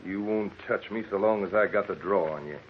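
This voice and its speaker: An actor playing a brazen, goading American desert gunman with rough rustic talk in a radio drama. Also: sits low, fairly quick, dull audio, lightly acted. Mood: cocky, goading. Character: brazen, goading Western desert rival with a gun. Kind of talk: 1940s radio drama acting. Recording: thin, faintly crackly old radio recording.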